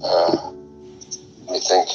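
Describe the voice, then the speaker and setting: A hesitant man thinks aloud on a phone call. His voice is on the low side and comes through muffled and lo-fi, with faint music behind it. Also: completely flat delivery, slow pace, gravelly. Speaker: phone caller.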